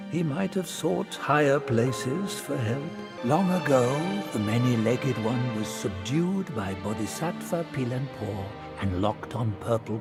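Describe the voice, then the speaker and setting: A solemn storytelling sage for a fantasy game. He speaks slowly and thoughtfully, with lively dramatic pitch movement. Music plays clearly behind him. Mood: musing. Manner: measured, dramatic, musing. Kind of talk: scripted fantasy game dialogue.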